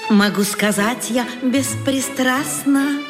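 A woman's voice: sits high, takes it slowly. An actress playing a proud, doting mother, delivering sung vaudeville couplets in a theatrical style. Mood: proud, joyful. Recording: reverberant stage sound with music.

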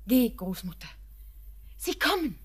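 An actress playing a tender, dreamy young granddaughter in a poetic radio drama. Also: female voice, on the high side, big pitch swings, measured pace, husky, exaggerated voice. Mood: dreamy, eager. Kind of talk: poetic German radio play acting.

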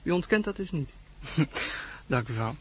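Pushy, high-voiced reporter. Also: male voice, big pitch swings, a little slow, fairly bright tone, husky, heavily acted. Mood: prying, smug. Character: pushy, smirking male newspaper reporter. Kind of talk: radio drama acting.

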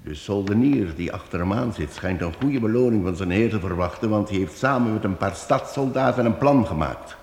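An actor playing a calm, shrewd wandering minstrel in a radio play, speaking in measured tones. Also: male voice, fairly low pitch, roomy sound, long reverb tail, a little hiss or hum. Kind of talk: radio drama acting.

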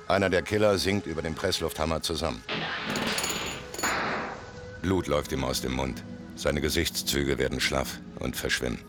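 Very deep-voiced laconic gangster. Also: male voice, a bit bright. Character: tough, laconic male gangster recounting his rise. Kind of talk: German radio play acting and narration. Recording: music behind studio radio play.

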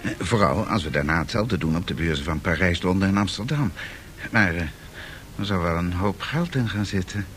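Eager excitable finance man, swoopy intonation, bit hesitant, seventies radio drama. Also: sits low, moderate pace, a little hiss or hum. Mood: intrigued, cautious. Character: excitable, impressed male financier citing press clippings. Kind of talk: 1970s Dutch radio drama acting.

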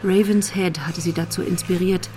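A woman's voice: fairly low pitch, steady tone, normal speed, darkish tone, a little hiss or hum, lightly acted. A woman narrating a crime radio drama as a composed storyteller.

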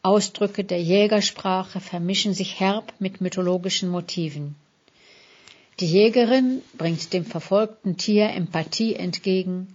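A woman with a slightly low-pitched voice narrates a literary portrait. She reads from a script in a measured, articulate way, placing deliberate emphasis on key words. The recording sounds a little dull and band-limited.

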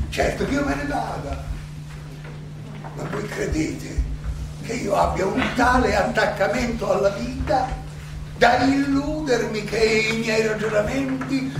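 An actor playing an aging Athenian philosopher who defends himself in a theatrical monologue, ironic and full of rhetorical questions and halting repetitions. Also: male voice, sits high, creaky, heavily acted. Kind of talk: theatrical stage monologue, oratory. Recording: roomy, distant, noisy live stage recording.

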